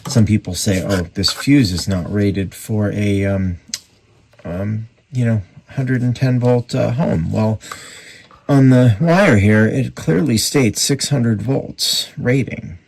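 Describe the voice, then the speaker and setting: A man's voice: lowish voice, takes it slowly, creaky, a little mellow, breathy edge. A man giving casual hands-on commentary on a repair, musing and thinking aloud as he works indoors at a bench. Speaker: radio repairer presenting a restoration.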